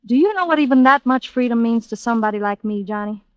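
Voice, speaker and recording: A tough, guarded club singer acted by a woman with a fairly high voice. She talks in terse, unsentimental lines, in old radio thriller style. The recording is dry and dull. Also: animated, quick pace, no room sound, close to an everyday voice. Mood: defiant. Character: cool, guarded, hard-bitten nightclub singer, a woman.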